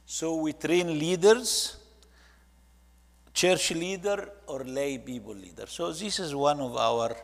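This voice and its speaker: Egyptian guy giving a church slideshow talk, kinda slow and halting english, big echoey hall. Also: a little high, vocal fry. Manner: halting, with short phrases and non-native English grammar. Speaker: church ministry leader presenting to a congregation. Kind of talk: presentation talk narrating photo slides.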